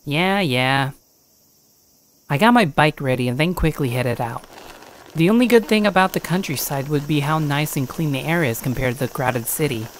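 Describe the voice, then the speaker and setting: Low-voiced woman narrating a horror story. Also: lively intonation, brisk, a little hiss or hum.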